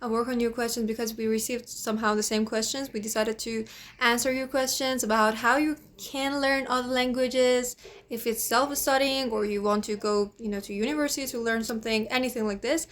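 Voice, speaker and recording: A sixteen-year-old girl from Iran with a Persian accent, chatting casually and haltingly, often saying "you know". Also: fairly high pitch, steady tone, fairly bright tone. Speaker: host, language teacher. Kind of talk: casual chat.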